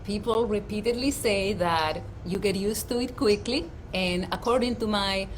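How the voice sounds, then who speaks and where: A middle-aged woman with a Dominican accent speaks as a life coach, earnest and emphatic in her delivery. Her pitch sits in an ordinary middle range. She records outdoors at home, with faint ambient sound behind her voice. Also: moderate pace, a little hiss or hum. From Dominican Republic. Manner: warm, earnest, emphatic presenter. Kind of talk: motivational livestream talk.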